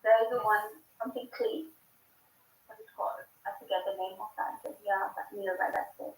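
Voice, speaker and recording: A woman's voice: medium pitch, expressive, brisk, a little hiss or hum. A woman on a distant, echoey video call. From Nepal. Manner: friendly, questioning, often asks to repeat. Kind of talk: casual video call chat.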